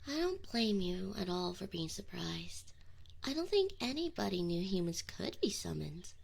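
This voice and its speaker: A woman's voice: on the low side, big pitch swings, normal speed, gravelly, a little hiss or hum, heavily acted. A woman voicing a teasing, flustered but affectionate succubus in an intimate ASMR roleplay, close to the microphone with whispered asides. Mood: concerned, soft. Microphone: close binaural microphone.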